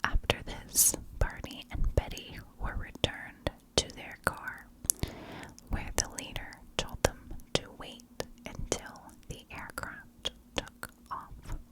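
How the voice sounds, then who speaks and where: A woman narrating a story as an ASMR host, whispering in short, deliberate phrases. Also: rough recording.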